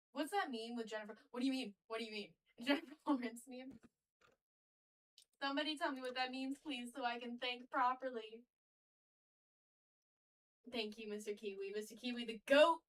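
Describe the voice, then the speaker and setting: A woman's voice: sits high, normal speed, long pauses. A woman chatting casually on a live art stream, rambling and answering chat messages as she draws. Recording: distant mic with faint background noise.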